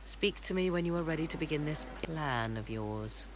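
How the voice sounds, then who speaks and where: An actress playing a proud, cutting sorceress in a video game, with formal, theatrical, disdainful delivery. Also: female voice, deep voice, expressive, moderate pace, a crowd in the background. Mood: cool, probing.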